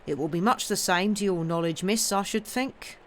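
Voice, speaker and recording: A woman doing a gruff, hoarse working man. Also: mid-range voice, moderate pace, natural voice.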